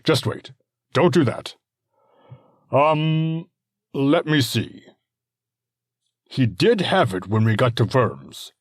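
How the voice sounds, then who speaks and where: A man's voice: medium pitch, expressive, takes it slowly, pauses often, dry recording, clean studio sound, pitched above the performer's own voice. A man voicing a frantic anxious warlord father. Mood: urgent. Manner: frantic, exclaiming questions. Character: anxious young male warlord and worried father.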